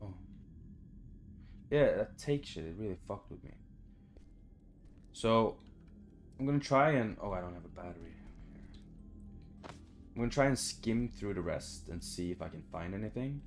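Low-voiced young man muttering through gameplay. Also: expressive, a little slow, vocal fry, long pauses, soft background music. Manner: muttering, frustrated, swears freely. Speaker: video game player and commentator. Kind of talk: casual gameplay commentary. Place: indoor room at home.

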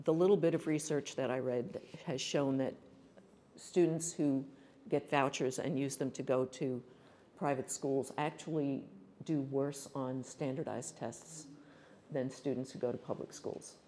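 An older woman on a forum panel, explaining policy in an earnest, measured way with some restarts, speaking into a table mic in a hall. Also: a bass voice, steady tone, warm tone, slight background noise. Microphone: table mic on a stand.

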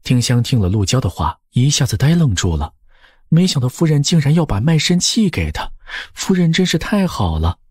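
A male audiobook narrator tells a period story from a script. His voice is husky with widely moving intonation, recorded close and dry. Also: medium pitch, very animated, normal speed, a little mellow, no echo.

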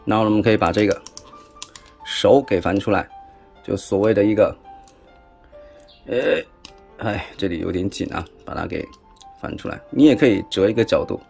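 A man's voice: lowish voice, moderate pace, vocal fry, pauses often, darkish tone, soft background music. A man with a Taiwanese accent giving a chatty, casual hands-on toy review, often tossing in rhetorical questions. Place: indoors at a tabletop.

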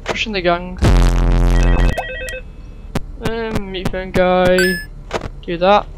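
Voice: mid-range voice, steady tone, slow pace, heavy background noise. A gamer giving casual, rambling live commentary on a video game, muttering asides along the way. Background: game music and sounds.

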